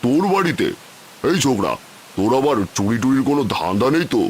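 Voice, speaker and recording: A man voicing a haughty, suspicious rich city gentleman in a cartoon moral tale, condescending and complaining. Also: mid-range voice, very animated, fast talker, slight background noise, natural voice.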